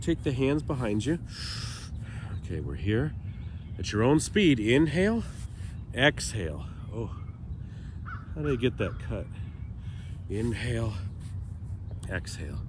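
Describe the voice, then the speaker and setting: Slow-paced stuffy-nosed male fitness instructor. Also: mid-range voice, a little hiss or hum. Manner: calm, chatty cueing with breathy sighs. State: stuffy nose. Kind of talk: guided stretching routine.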